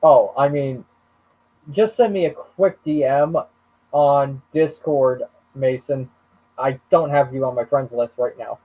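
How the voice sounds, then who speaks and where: A man's voice: medium pitch, takes it slowly. A man hosting a live stream and chatting casually, often hesitating and trailing off mid-sentence, over muffled call audio. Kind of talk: casual chat.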